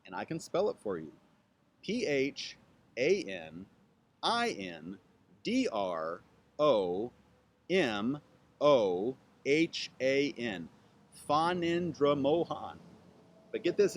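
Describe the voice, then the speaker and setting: Playful guy vlogging outside, bouncy up-and-down voice, spells stuff out slow. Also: medium pitch. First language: English. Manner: chatty, playful, spells words out slowly. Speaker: YouTube channel host. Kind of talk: casual self-introduction to camera. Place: outdoors with trees behind.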